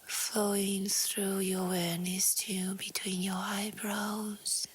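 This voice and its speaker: A woman with a soft, breathy, whispery voice calmly guiding a relaxation meditation in short, gentle phrases. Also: medium pitch. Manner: calm, hushed, gentle, with short pausing phrases. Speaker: yoga nidra meditation guide. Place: outdoors in nature. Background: faint natural ambience.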